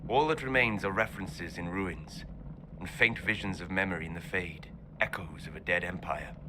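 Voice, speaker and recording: An actor playing a calm, learned male elf mage who speaks in a measured, scholarly way in a video game cutscene. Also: low-pitched, noisy background, close to an everyday voice. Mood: grave, measured. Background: game score music.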